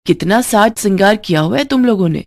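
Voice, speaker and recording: A woman voicing an exasperated, wisecracking middle-aged Indian mother-in-law in a scolding, sardonic, drawn-out way for a story. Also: medium pitch, animated, no echo, clean studio sound, natural voice. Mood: teasing, dismissive.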